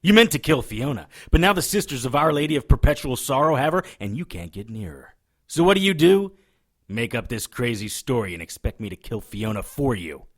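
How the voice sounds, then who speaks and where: An actor playing a defiant, wisecracking hardboiled detective with a sardonic manner in an audio drama. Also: male voice, mid-range voice, lively intonation, brisk, lightly acted. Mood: angry, accusing.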